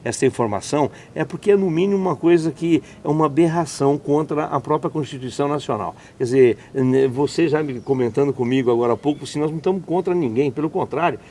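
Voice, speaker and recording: A man's voice: fairly high pitch, quick pace, few pauses, darkish tone, a little hiss or hum. A Brazilian man hosting an interview, talking indignantly in long run-on sentences with many restarts. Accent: Brazilian Portuguese. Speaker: interview host. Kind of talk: conversational interview.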